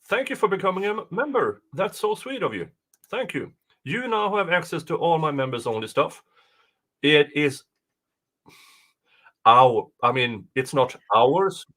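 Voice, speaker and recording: Bright-voiced man, slow casual streamer. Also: on the high side, expressive. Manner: jokey, quick to laugh. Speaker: livestream host. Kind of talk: casual livestream chat.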